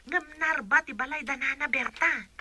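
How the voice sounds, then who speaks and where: Casting: chatty Filipina friend, extremely bright, high-ish voice, radio drama. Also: female voice, moderate pace, a little hiss or hum. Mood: reporting news. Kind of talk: Ilocano radio drama acting.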